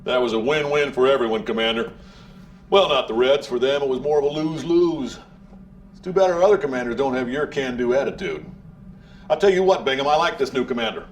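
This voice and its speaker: An actor playing a brash, hawkish American leader in a video game cutscene, breezy and swaggering, with an American accent. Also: male voice, mid-range voice, brisk, distant mic, lightly acted. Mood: breezy, pleased. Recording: off-mic with faint background noise.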